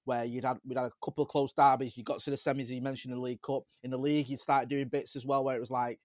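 A man with a Manchester accent chatting on a football podcast and telling lively stories about his days as a local radio reporter. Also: mid-range voice, quick pace, dry recording. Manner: animated storyteller, jumps into mimicry of fans. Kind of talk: casual football podcast chat.

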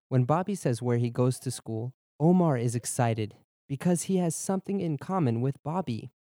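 Podcast language teacher, young man, deep mellow tone, measured and clear, dry studio sound. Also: no room sound. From born in Germany, raised in Boston. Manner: clear, measured explanations, slows down for repeat drills. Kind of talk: bilingual lesson narration, Japanese and English.

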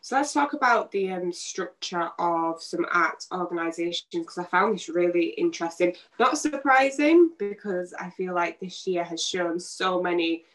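A woman's voice: lowish voice, moderate pace, few pauses, poor audio. A woman hosting a casual podcast, asking long, searching, rambling questions. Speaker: podcast host.

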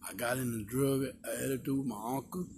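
A man in his sixties with a Texan accent, telling his life story as a guest in slow, drawn-out speech outdoors. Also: medium pitch, steady tone. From Texas. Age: 60s. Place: outdoors in a park. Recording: noisy outdoor recording.

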